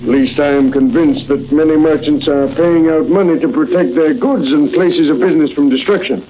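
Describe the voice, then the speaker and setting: An actor playing a fatherly, dignified older city commissioner in a 1940s radio drama, formal and measured. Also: fairly high pitch, close to an everyday voice. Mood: grave, candid. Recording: muffled old radio recording, faint noise.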